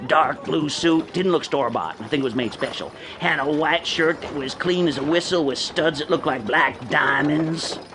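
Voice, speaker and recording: A man's voice: fairly high pitch, normal speed, few pauses, noisy background, close to an everyday voice. A man playing a grizzled old stagecoach driver. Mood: joshing. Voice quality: folksy drawl. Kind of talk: radio drama acting.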